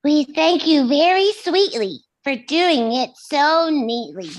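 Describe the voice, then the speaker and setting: A tiny, cheerful munchkin villager acted by a woman chants in a singsong. The voice is extremely high and goes slowly and deliberately through musical rhymes. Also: animated. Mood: grateful. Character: small, cheerful munchkin townsfolk, chanting gratefully. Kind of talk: musical lyrics read out in singsong.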